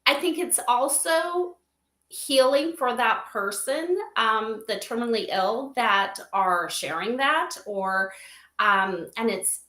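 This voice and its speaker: A woman's voice: on the high side, expressive, slow, bright, forceful tone. A woman explaining conversationally as an interview guest, acting out quoted lines as she goes. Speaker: podcast guest, company advisor. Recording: roomy, echoey sound.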